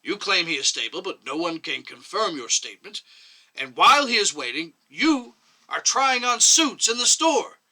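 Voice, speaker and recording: Stern, exasperated male committee chairman, played by a male story reader: highish, very forceful, drawn-out emphasis, interrogating. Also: big pitch swings, heavily acted, rough recording. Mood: incredulous, scolding. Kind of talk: dramatic short story reading.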